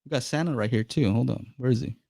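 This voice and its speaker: A man's voice: mid-range voice, quick pace, creaky, a little mellow, dry recording, clean studio sound. A man hosting a live call-in podcast, chatting casually and laughing easily with callers. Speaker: podcast host.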